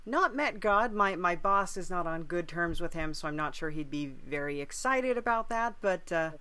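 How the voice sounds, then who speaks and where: A man hosting a casual conversational interview, agreeable and halting, often repeating his phrases. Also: high-pitched, expressive, sharp tone, clean tone. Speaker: podcast host, interviewer.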